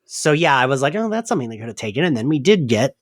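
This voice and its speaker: A man's voice: mid-range voice, sing-song, very fast, dry recording, clean studio sound. A man hosting a podcast in a casual recap discussion, chatty and hedging, often saying "like". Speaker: podcast host.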